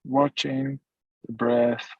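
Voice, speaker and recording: Male meditation guide, husky and very even in pitch, gentle phrasing with long pauses. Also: dry recording, lo-fi sound. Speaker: meditation teacher. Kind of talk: guided meditation, mindfulness instruction.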